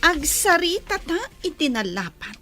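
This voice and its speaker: A woman playing a jilted, jealous young woman in a melodramatic audio drama, with shrill, wailing, pleading delivery. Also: sing-song, unhurried, over music. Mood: shrill, enraged.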